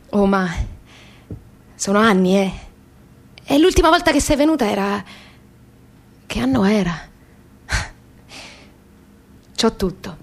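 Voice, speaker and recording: An actress playing a young woman who talks tenderly to her dead mother, colloquial and halting, with a southern Italian accent, in an intimate radio theatre monologue. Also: mid-range voice. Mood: wistful, hopeful.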